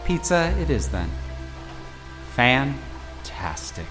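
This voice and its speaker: A woman playing an excitable, flustered but plucky young technician in a fan audio drama. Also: a bass voice, very slow, music in the background, full character voice. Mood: dry, relaxed.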